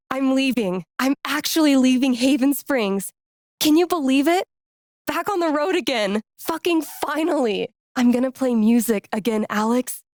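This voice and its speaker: A woman playing a cool, sarcastic, warm-hearted young indie musician in a video game, with an American accent and casual, slangy delivery. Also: a little high, dry recording, clean studio sound. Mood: elated. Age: young adult. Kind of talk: naturalistic video game voice acting.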